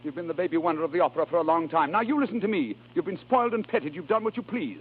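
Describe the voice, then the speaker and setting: Exasperated, flustered husband played by a man, 1930s radio drama, very animated, thin noisy old recording. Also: on the high side, rapid-fire, lightly acted. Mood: firm, exasperated. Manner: flustered, hesitant, then emphatic. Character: harried, exasperated husband of an opera star. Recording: scratchy, narrow 1930s radio recording with hum.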